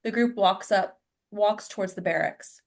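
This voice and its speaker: A woman's voice: medium pitch, a little slow. A woman narrating stage directions at a table read in a plain, informal way.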